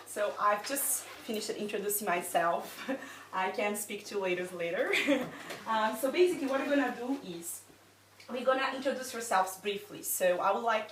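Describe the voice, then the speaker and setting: A woman hosting a small group workshop, friendly and laughing between her instructions. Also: mid-range voice. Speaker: workshop host. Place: indoor workshop room. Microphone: distant room mic. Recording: echoey room from far off, faint noise.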